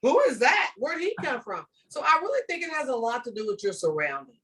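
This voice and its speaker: An older woman from the southern United States with a Southern accent, a teacher talking to students on a video call in a lively, storytelling and encouraging manner. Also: sits high, brisk, distant mic. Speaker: ESL teacher guest. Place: home room with bookshelves.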